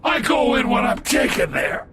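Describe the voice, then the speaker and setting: A high-pitched, taunting video game thug. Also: male voice, very animated, moderate pace, shouting, heavy background noise. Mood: pained, defiant. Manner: taunting, swearing, jeering. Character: brash, foul-mouthed bald male thug, sneering and taunting.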